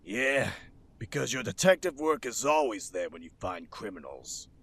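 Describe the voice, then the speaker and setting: An actor playing a grumpy, skeptical city cop in an audio drama, raspy, curt and sardonic. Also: male voice, on the low side, sing-song, moderate pace, a bit bright, heavily acted. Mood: exasperated, sarcastic. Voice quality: gravelly, raspy.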